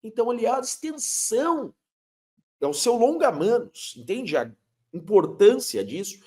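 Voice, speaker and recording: A man's voice: very high voice, sing-song, moderate pace, a little mellow. A man, a lawyer explaining legal matters emphatically, posing rhetorical questions as he lectures. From Curitiba, Brazil. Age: 30s.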